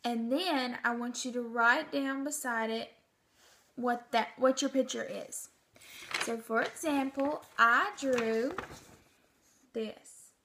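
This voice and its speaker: Woman, teacher, high voice, creaky edges, deliberate, expressive storybook reading. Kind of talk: storybook narration and instructions to children.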